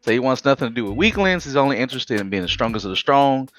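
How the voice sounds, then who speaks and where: A man hosts a casual podcast in a loose, talkative way, full of asides. His voice sits at a middling pitch, and faint music plays behind him. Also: racing. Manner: talkative, animated, rambling asides. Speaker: podcast host. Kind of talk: casual chat.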